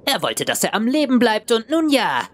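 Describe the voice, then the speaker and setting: A man's voice: very high voice, huge pitch swings, normal speed, full character voice. A man voicing a smug, sadistic alien tyrant in a parody anime dub, mocking and mock-polite in a drawl. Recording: music behind.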